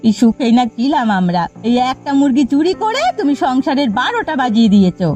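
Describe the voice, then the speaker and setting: A woman's voice: sits high, very animated, brisk, mellow, soft background music. A woman voicing a scheming, nagging village wife in a folk-tale cartoon. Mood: reproachful.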